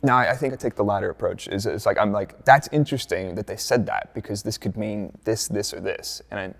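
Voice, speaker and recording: A man's voice: fairly low pitch, fairly quick, creaky. An American man from Dallas, a former racing engineer turned content creator, answering interview questions in a chatty, laid-back way with joking asides. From United States, Dallas.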